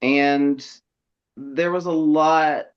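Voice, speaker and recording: Animated man in his thirties, lo-fi. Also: a little high, very slow. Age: 30s. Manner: animated, laughs often, mimics voices in quotes. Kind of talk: casual storytelling chat.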